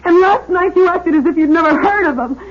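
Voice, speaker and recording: An actress playing a distraught, pleading young woman in a 1970s radio drama, high-strung and tearful. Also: a little fast. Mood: bewildered, insistent. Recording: dull, noisy vintage radio broadcast.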